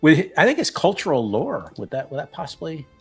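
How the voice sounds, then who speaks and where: A man's voice: fairly high pitch, very animated, brisk, husky, soft background music. A man playing a tabletop roleplaying game, casually and tentatively describing what his character does as he thinks aloud.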